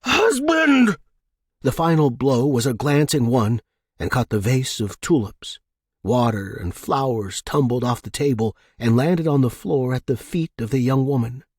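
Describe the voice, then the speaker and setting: A man narrating scripted suspense fiction in a measured, dramatic manner, as an audiobook reader. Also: medium pitch, darkish tone, husky, no room sound, studio quality.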